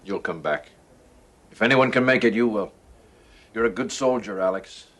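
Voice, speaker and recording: Deep-voiced, grave general. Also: male voice, moderate pace, fairly bright tone. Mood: earnest concern. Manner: commanding, earnest. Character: grave, protective male general in command. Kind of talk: television science fiction drama acting.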